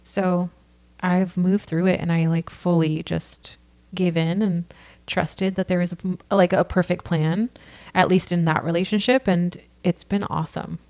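A woman's voice: lowish voice, fairly even intonation, a little slow, darkish tone. A woman from California, a podcast guest telling her personal story in an earnest, casual way, full of "like" and "you know.".

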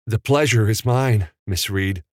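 A man's voice: fairly low pitch, measured pace, dry recording, clean studio sound, pitched above the performer's own voice, lightly acted. A man voicing a nervous, starstruck film editor in an audiobook, hesitant and apologetic. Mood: nervous, sheepish. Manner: stammering, apologetic. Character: nervous, starstruck male trailer editor, flustered drifter.

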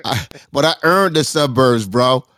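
A man's voice: medium pitch, expressive, measured pace, a crowd in the background. A man from New Jersey co-hosting a live talk room, teasing the host in laid-back banter. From Trenton, New Jersey.

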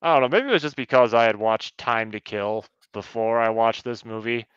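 Male co-host, casual reviewer with a very clean, resonant voice, mid pitch, slightly muffled dry mic. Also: a little fast, a bit bright, clear, resonant tone, no echo. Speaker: podcast co-host. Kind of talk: casual film review chat.

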